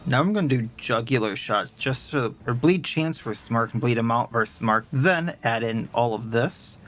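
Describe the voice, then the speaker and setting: A man's voice: medium pitch, expressive, normal speed, a bit bright. A man with a stuffed-up nose and a sore throat, chatting casually as he plays and explains his party's stats at length. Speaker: let's play host. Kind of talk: casual gameplay commentary.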